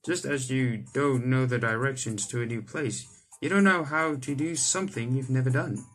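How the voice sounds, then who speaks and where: A young man reads a visual novel out loud in a mid-range voice. His delivery is dramatic and he trips over the odd word. Light game music plays behind him. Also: mid-range voice, moderate pace. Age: young adult. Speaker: gaming YouTuber reading a visual novel aloud. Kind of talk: animated read-aloud of visual novel text. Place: indoor room, on webcam.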